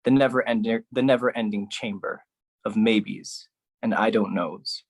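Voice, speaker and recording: A spoken-word performer with a medium-pitched voice delivers a poetic theatrical monologue. The pace is slow and deliberate, with dramatic, heavy emphasis placed on the words. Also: fairly even intonation, husky.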